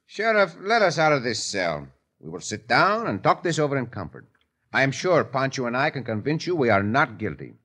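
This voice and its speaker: An actor playing a suave, courteous Mexican caballero hero with in a 1950s radio western. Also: male voice, mid-range voice, big pitch swings, fairly quick, pushed and bright. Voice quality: smooth, courteous, formal. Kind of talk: 1950s radio drama acting.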